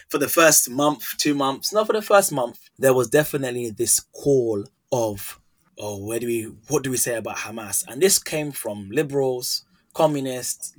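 A man hosting a podcast interview, speaking with passion as he builds long questions. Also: medium pitch. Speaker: podcast host.

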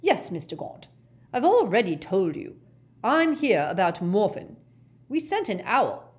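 A woman's voice: fairly high pitch, sing-song, moderate pace, a little mellow, voice pushed up. A woman voicing a formal, easily flustered and indignant male government official in a storybook reading. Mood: cold, tart. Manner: formal, polite, tart.

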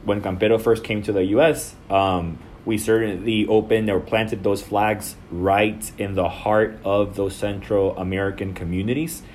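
A man from El Salvador answers questions in a measured, businesslike way, with some restarts. His voice sits low. A faint background hiss runs under his speech. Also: level tone, a little mellow. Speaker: marketing director. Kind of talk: interview answers.

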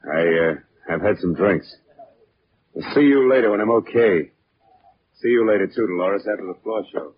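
An actor playing a hot-tempered, tipsy bandleader in a 1940s radio drama, blustering and hostile. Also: male voice, lowish voice, moderate pace, husky, no echo. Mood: sullen. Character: belligerent, hot-tempered male bandleader, somewhat drunk. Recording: muffled old radio broadcast.

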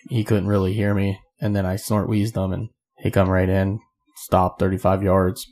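Hoarse guy, lowish voice, rambling on casually, laughing a lot. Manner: rambling storytelling, quick laughs. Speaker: podcast co-host. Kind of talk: casual chat among friends.

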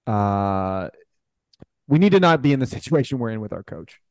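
A man co-hosting a podcast in casual chat, speaking haltingly with lots of filler "like". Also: on the low side, very animated, dry recording, studio quality. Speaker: podcast co-host.

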